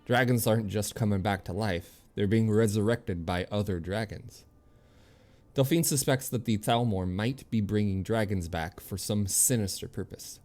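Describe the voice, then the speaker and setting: A man's voice: fairly low pitch, darkish tone. A man streaming a video game, chatting casually with viewers and commenting as he plays in an easygoing way. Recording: game music behind the voice. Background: video game music.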